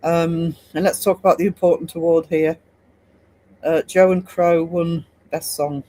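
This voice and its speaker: A man's voice: fairly high pitch, level tone, a little slow, dark tone. A man hosting a casual livestream, chatting and rambling to his viewers with lots of fillers and restarts. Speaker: art livestream host. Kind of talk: casual chat reading live comments.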